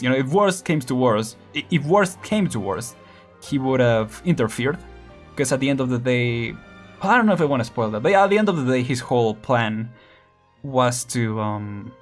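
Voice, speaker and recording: A man chatting casually on a game stream, rambling with many fillers, restarts and small laughs. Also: medium pitch.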